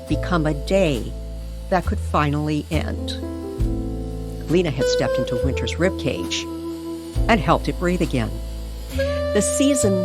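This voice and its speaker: Slow-paced woman narrating over prominent music. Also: low voice. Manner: measured, deliberate storytelling. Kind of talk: scripted dramatic story narration. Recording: music bed under the voice.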